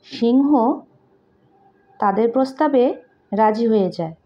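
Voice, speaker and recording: A woman with a Bengali accent reading a moral story aloud to children in simple, deliberate phrases, like a teacher. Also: a little high, animated, mellow. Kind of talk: slow read-aloud moral story with translation. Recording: dull lo-fi home recording.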